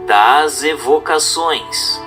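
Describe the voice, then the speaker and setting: A man reads a book as scripted narration, in a formal, didactic manner with measured emphasis. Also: fairly high pitch, music in the background. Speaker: audiobook narrator.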